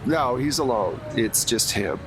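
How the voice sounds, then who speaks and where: A man narrating as game master of a tabletop game, describing scenes in a relaxed way with frequent fillers. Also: medium pitch, unhurried. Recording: noisy background.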